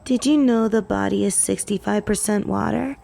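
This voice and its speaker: A woman's voice: moderate pace, vocal fry, mellow. A woman voicing a haughty, jealous female vampire in a roleplay audio drama, snippy, indignant and easily flustered. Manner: snippy, indignant, higher-pitched.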